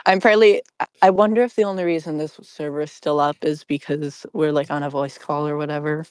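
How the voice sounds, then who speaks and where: This is a podcast panelist in a loose group chat, with a medium-pitched voice. The delivery is halting and rambling, and the sound is dry and close. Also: steady tone, smooth, dry recording.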